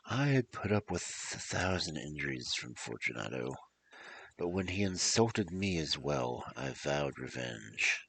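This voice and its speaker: A man narrating a scripted story in a gravelly voice, with deliberate, theatrical delivery. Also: a bass voice, very animated, a bit bright, slight background noise.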